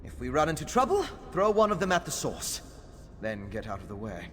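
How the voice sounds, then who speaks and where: A man playing a scholarly, cautious and perceptive companion in a fantasy video game, with a measured, explanatory delivery. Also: a little high, very animated, fairly bright tone. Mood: urgent. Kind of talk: video game character acting. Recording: long hall reverb, faint background noise.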